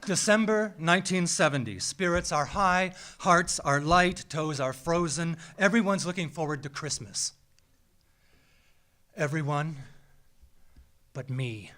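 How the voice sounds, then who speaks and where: An American man reads a scripted personal story live on stage, with a measured, theatrical delivery and deliberate dramatic pauses. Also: fairly high pitch, sharp tone. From small town in Minnesota, United States. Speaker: writer reading a personal essay. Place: small theatre, live event.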